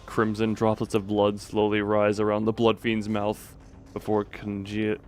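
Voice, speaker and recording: A man's voice: fairly low pitch, completely flat delivery, moderate pace. A man streaming a game, chatting casually and reading the story text aloud with dramatic delivery.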